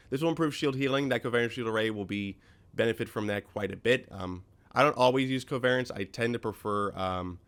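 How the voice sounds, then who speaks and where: This is a man with a somewhat low voice, still a little scratchy as he gets over a cold. He talks through game gear in a loose, rambling way, full of ums and half-finished words. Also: fairly bright tone. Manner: rambling, many ums and self-corrections. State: slightly scratchy voice after a cold. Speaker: gaming video host. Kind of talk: casual let's-play commentary explaining game gear.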